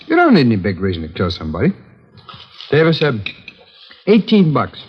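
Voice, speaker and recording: A man's voice: medium pitch, wildly swooping pitch, a little fast, husky. An American man acting a casual, remorseless drifter who confesses to killings in a 1950s radio drama, talking in an offhand, rambling way on a dull, noisy old recording. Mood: casual, matter-of-fact. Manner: offhand, matter-of-fact, rambling.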